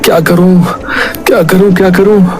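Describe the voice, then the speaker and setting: A man's voice: sits high, fairly even intonation, moderate pace, natural voice. A man playing an anxious, bewildered young village man in a cartoon story, with a worried, questioning delivery. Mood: anxious, desperate. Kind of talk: cartoon story dialogue acting. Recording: prominent background music. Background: music.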